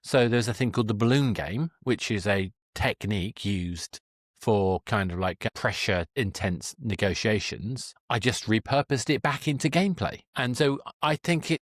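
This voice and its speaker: A man's voice: on the low side, dry recording, studio quality. A man hosting a podcast, chatting casually in an interview and often breaking off to restart his thought. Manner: halting, self-interrupting. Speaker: podcast host.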